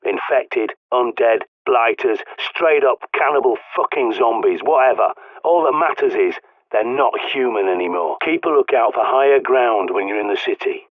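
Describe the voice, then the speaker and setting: An actor playing a blunt, sweary, paranoid survivalist mentor in a video game, with an English accent, heard through a radio filter. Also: male voice, mid-range voice, lively intonation, normal speed, no echo. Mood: grim, instructing. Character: gruff paranoid doomsday survivalist man, bossy mentor. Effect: radio transmission filter. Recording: muffled narrow-band radio sound.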